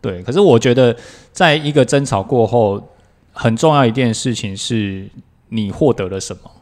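A man's voice: medium pitch, moderate pace, darkish tone. A man with a Taiwanese Mandarin accent, chatting casually as a podcast co-host. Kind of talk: casual chat.

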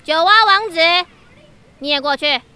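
A woman's voice: high voice, expressive, normal speed, sharp tone, heavily acted. A woman with a Taiwanese Mandarin accent playing a strict, exasperated schoolteacher in a children's radio drama, scolding and bossy. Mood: commanding.

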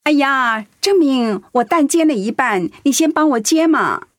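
A woman's voice: sits high, expressive, measured pace, no room sound, clean studio sound. A woman acting a caring, polite middle-aged pastor's wife in a conversational radio drama style. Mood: busy, hurried.

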